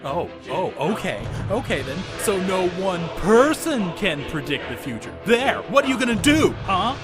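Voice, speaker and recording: Man as an exasperated, highly animated documentary interviewer. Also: unhurried, over music. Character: exasperated, short-tempered male documentary interviewer. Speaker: interviewer and narrator. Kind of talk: comedic cartoon parody dub.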